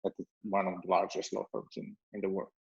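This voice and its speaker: A man with an Italian accent talks in a low, even voice with little rise and fall, pausing on "um" and restarting his sentences. The sound is dull and lo-fi, like video call audio from a bright room. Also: creaky. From Italy. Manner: measured, with frequent restarts and "um". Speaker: guest, company founder. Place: bright room at home with a window.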